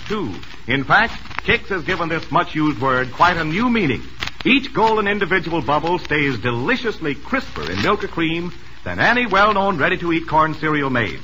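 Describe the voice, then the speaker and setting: Very bright, swooping old-time radio pitchman. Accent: American. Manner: polished, emphatic salesman delivery. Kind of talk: 1940s radio commercial announcing. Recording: noisy vintage radio broadcast recording.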